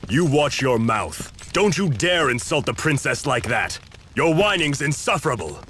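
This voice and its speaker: An actor playing a stern, fiercely loyal warrior in a video game, terse, solemn and blunt. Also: male voice, medium pitch, very animated, moderate pace, a bit bright. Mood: stern, scolding. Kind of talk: video game cutscene acting. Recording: noisy game audio with background ambience.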